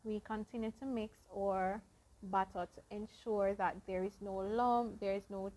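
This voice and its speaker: A woman hosting a casual cooking vlog, explaining each baking step to her viewers in an unhurried way. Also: mid-range voice, steady tone, mellow. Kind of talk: casual baking demonstration narration.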